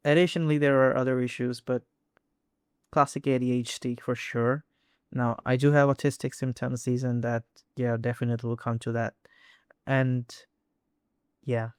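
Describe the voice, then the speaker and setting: Young man, Indian English accent, hesitant to-camera talk, clear voice, close dry sound. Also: medium pitch, dry recording. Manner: halting, many restarts and fillers. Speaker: vlogger. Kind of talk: casual personal talk to camera.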